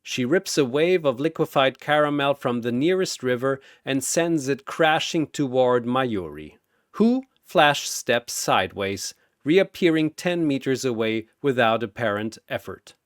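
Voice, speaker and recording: Slow, dramatic male storyteller, very animated. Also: mid-range voice, no room sound, studio quality. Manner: theatrical, short suspenseful phrases. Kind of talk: dramatic scripted narration.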